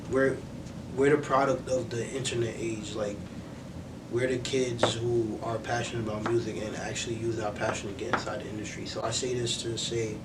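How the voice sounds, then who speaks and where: Young male interview guest, earnest and reflective, conversational, average pitch, recorded off-mic over clear noise. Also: level tone, moderate pace, recorded from a distance. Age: young adult. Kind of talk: casual sit-down interview. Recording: roomy, off-mic, noisy with low rumble.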